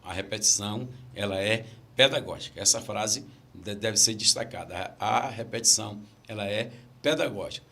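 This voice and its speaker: A man's voice: lowish voice, moderate pace, vocal fry, bright, forceful tone. A middle-aged man from Brazil, a pastor with a stammer, telling stories in casual podcast chat and repeating his key phrases.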